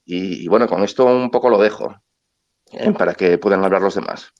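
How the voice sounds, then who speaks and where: A man's voice: low-pitched, fairly quick, darkish tone, dry recording. A man on a video call arguing his point emphatically as a debate panelist, repeating words as he restarts. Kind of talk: online panel discussion. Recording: dry, dull lo-fi video call audio.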